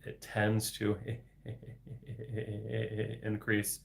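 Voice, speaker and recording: A young man with a low, gravelly voice talks slowly on a casual solo livestream. He stutters heavily, repeating sounds and getting stuck on words, and laughs softly between phrases. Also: monotone. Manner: heavy stutter with repetitions and blocks, frequent soft laughs. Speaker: livestream host reading viewer questions.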